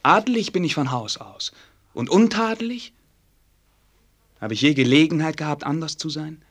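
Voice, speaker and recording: An actor playing a melancholy, self-doubting young prince in a radio play, speaking formally and earnestly. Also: male voice, fairly high pitch, lively intonation, moderate pace. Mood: bitter self-doubt. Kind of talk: German radio play acting.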